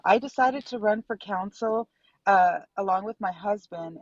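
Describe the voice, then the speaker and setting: Woman, low voice, earnest, filler-heavy, muffled dry call audio. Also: dry recording. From Dallas, Texas. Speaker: city council candidate. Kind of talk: remote guest interview. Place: in her car.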